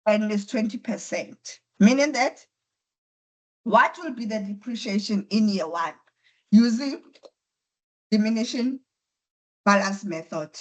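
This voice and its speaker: A woman with a voice affected by flu, patiently teaching an online accounting class step by step. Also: fairly high pitch. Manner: patient, step-by-step explaining with repetition. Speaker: accounting tutor. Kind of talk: online lecture. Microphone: computer mic on a video call.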